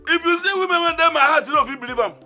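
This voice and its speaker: Bright, rambling Nigerian lecturer. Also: male voice, very high voice, rapid-fire, faint music behind, heavily acted. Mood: lecturing. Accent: Nigerian Pidgin. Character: man pressing about a debt and advising on marriage. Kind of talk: film acting.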